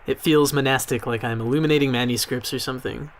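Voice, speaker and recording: A man's voice: medium pitch, fairly even intonation, close to an everyday voice. Hesitant, mild young man played by an actor. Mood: casual, reserved. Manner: hesitant, soft-spoken, halting. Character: mild, awkward young male archivist, reserved and deferential. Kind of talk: naturalistic audio drama acting.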